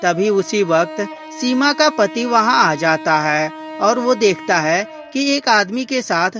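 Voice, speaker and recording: A woman's voice: medium pitch, expressive, slow pace, a bit bright. A woman storyteller reading a scripted moral tale as narrator. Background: background music.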